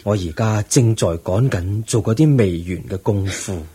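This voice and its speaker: Slow, deep, husky aged Buddha. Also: male voice, mellow. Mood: solemn, serene. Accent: Hong Kong Cantonese. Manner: slow, measured, solemn teaching delivery. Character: aged, serene Buddha, eighty, weary yet calm teacher. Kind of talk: Cantonese religious radio drama acting.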